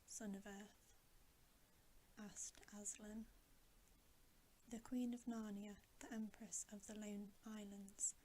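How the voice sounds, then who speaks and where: A woman whispering a bedtime story in a hushed, breathy, gentle and unhurried ASMR style. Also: mid-range voice, a little hiss or hum, poor audio. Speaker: ASMR reader.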